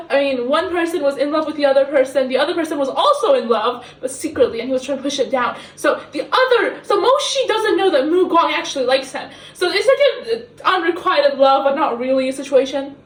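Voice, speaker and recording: A young woman from the Middle East, not a native English speaker, gushes and giggles through a rambling book review. Her voice is high, and it echoes around a kitchen from a few steps away from the camera. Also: brisk, hardly any pauses, far from the mic. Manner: giggly, excitable, self-mocking.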